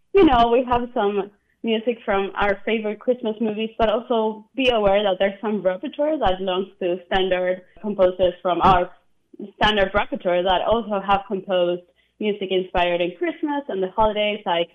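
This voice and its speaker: A woman's voice: mid-range voice, highs cut off. A woman from Argentina, a conductor chatting enthusiastically as an interview guest and laughing often. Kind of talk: broadcast interview.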